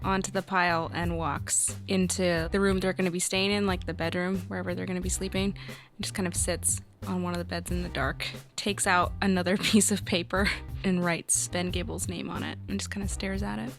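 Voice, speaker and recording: A woman's voice: lowish voice, little pitch movement, a little fast, soft background music. Woman narrating her game character casually. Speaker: tabletop game player.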